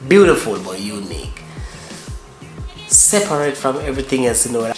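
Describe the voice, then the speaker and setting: Casting: Jamaican man, laid-back co-host, loose rambling delivery, echoey room, soft music under. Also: mid-range voice, normal speed. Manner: relaxed, drifting, repeats himself while searching for words. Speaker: co-host explaining Jamaican phrases. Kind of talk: casual couple vlog chat.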